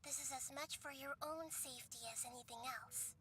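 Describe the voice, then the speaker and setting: Gentle high-voiced young goddess. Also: female voice, brisk, a little hiss or hum. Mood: calm, earnest. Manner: composed, careful, earnest. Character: gentle, solemn, wise young goddess guide. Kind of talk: video game character acting.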